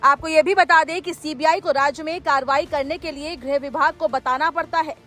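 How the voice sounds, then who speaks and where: A woman with a high-pitched voice narrates a news report as a presenter. She reads from a script, and faint music plays behind her. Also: steady tone, a little fast, fairly bright tone. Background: background music.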